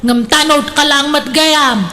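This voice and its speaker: A woman's voice: high-pitched, steady tone, a little slow, bright, forceful tone. A woman playing a discontented, envious wife in a comedic radio drama, complaining and needling.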